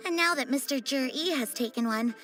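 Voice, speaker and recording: A woman's voice: high-pitched, fairly quick, a bit bright. An actress playing a polite, businesslike woman who sells antiques in a video game, articulate and courteous in a salesy way. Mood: courteous selling. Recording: background music under the voice.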